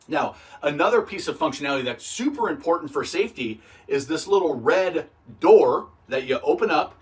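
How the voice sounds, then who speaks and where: A man's voice: mid-range voice, recorded from a distance. A man giving a plain-spoken, practical, step-by-step instructional talk in a conversational style. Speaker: boating instructor and video host.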